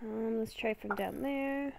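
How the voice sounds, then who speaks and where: A woman with a high voice narrates her own gameplay casually. She speaks slowly, in short halting phrases, as if thinking aloud to herself. There is background noise on the recording. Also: gravelly, darkish tone. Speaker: gamer recording a roleplay series.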